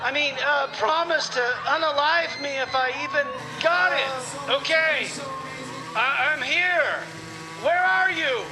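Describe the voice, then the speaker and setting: Extremely high-voiced excitable time traveler. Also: male voice, slow, over music, a crowd in the background, low-quality recording. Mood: excited, eager. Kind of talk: comedy radio theater.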